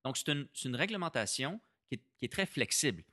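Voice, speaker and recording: A man's voice: medium pitch, sing-song, quick pace, bright, forceful tone, dry recording, clean studio sound. A man with a Québécois French accent explaining policy in a casual discussion, repeating words as he thinks and mixing in English terms. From Quebec, Canada.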